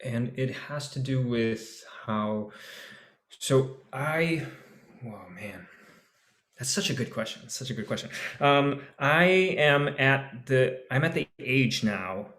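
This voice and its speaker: A man's voice: mid-range voice, animated, slow, a bit bright. A man in his forties, an actor on a discussion panel, talking casually and searching for his words with many restarts. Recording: echoey room.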